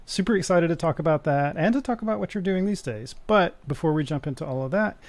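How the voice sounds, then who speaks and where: A man's voice: a little high, lively intonation, quick pace, dry recording. A man co-hosting a tech podcast, reading a sign-up reminder and introducing the guest with enthusiasm. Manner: enthusiastic, friendly. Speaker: podcast co-host. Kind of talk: casual podcast chat and read promo.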